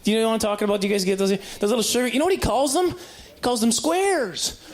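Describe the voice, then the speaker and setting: A man's voice: very high voice, fast talker. A man doing conversational stand-up comedy, rambling and stumbling over words as he tells stories in a live recording. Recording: live stand-up recording with clear background noise.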